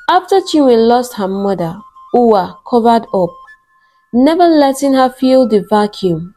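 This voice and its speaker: A woman narrating a scripted folktale in a measured, deliberate storytelling manner. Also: medium pitch, warm tone.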